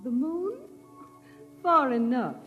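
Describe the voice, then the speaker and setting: A woman's voice: high voice, expressive, slow pace. An actress playing a weary but loving woman of the house in a 1950s radio drama, speaking emphatically. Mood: gentle, dismissive. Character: weary, loving wife and mother, exasperated. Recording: dull 1950s radio recording, music behind.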